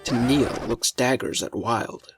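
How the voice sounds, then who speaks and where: A man's voice: medium pitch, slow. A man narrating a scripted fantasy story in the present tense as its narrator. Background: faint music.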